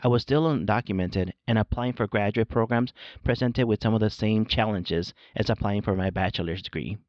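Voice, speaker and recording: Low-voiced man reading a scripted podcast. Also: no echo, lo-fi sound. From Mexico. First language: Spanish. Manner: measured, read-aloud delivery. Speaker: podcast host. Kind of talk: scripted solo narration.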